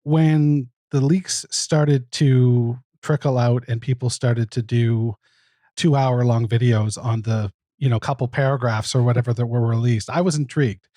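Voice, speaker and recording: A man speaking as a podcast guest, explaining his project casually and in a thoughtful, measured way. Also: medium pitch, steady tone, dark tone, dry recording. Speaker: podcast guest, producer and narrator. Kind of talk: casual interview answers.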